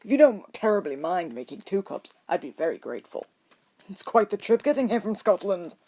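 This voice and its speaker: A woman acts out an elderly gentleman wizard from a fantasy story. He is genial and chatty, with courteous phrasing and a kindly insistence that keeps gently pressing his point. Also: mid-range voice, big pitch swings, brisk, voice pushed up. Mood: cheerful, genial. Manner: genial, polite, wordy. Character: cheerful, kindly old male wizard headmaster, gently pushy.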